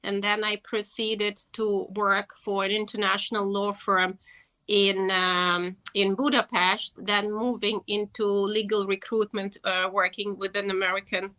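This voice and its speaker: A woman's voice: medium pitch, little pitch movement, a little slow, sharp tone. A woman from Russia, a guest on an online interview, speaking hesitantly with many fillers. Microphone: video call.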